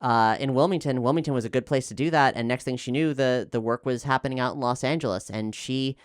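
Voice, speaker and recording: A man from Florida with an American accent, talking casually and explaining things in a halting way, often correcting himself as he thinks aloud. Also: mid-range voice, no room sound, studio quality. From Florida, United States. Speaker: actual play podcast player and co-host.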